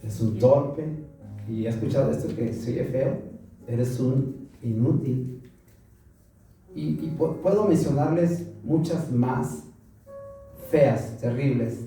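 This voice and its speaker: A man's voice: medium pitch, takes it slowly, pauses often, warm tone, far from the mic. A Mexican man preaching a sermon to a congregation, asking them questions and repeating them back. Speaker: pastor. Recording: roomy echo from afar, music behind.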